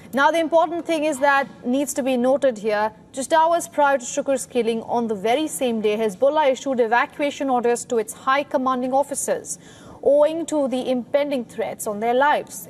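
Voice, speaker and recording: A woman with a high-pitched voice delivers scripted news narration as a reporter. Some noise sits faintly behind her voice.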